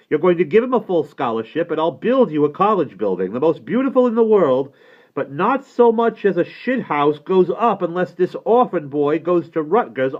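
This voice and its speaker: A man voicing a bullying rich builder who gives loud, emphatic commands. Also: fairly high pitch, lo-fi sound, voice pushed up, natural voice.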